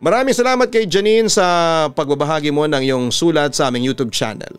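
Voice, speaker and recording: Clear-voiced man narrating over music. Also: on the high side, brisk. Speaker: radio announcer and storytelling host. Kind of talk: dramatic letter reading and heartfelt narration. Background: music under the voice.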